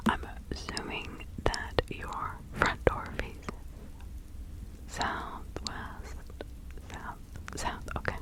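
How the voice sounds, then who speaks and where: A woman playing a helpful nature witch in a whispered ASMR roleplay, giving instructions in a breathy, halting, conversational way. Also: close to an everyday voice, low-quality recording. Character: practical, helpful nature witch advising a client in 1656.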